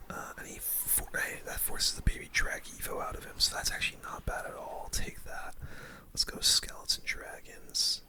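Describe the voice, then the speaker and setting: Whispering ASMR gamer, bright and breathy. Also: very high-pitched. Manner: casual, slangy. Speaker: gaming video host. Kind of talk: whispered ASMR game commentary.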